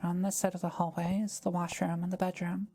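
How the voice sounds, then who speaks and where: A woman playing a kind, soft-spoken forest witch in an intimate audio roleplay, talking to the listener in gentle, formal, old-fashioned wording. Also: on the low side, level tone, fast talker, lightly acted. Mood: warm, hospitable.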